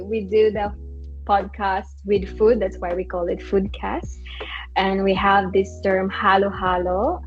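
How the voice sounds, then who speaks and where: A woman's voice: mid-range voice, lo-fi sound. A woman hosting a podcast, cheerful and encouraging, often answering with a short "yes" or "mm-hmm". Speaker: podcast host. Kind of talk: casual interview chat.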